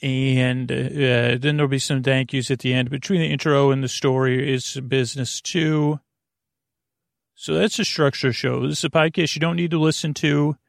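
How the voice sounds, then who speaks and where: Creaky, meandering male sleep-podcast host. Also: mid-range voice, dry recording, clean studio sound. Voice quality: creaky, soothing tones. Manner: meandering, off-topic, self-interrupting. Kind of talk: rambling bedtime podcast intro.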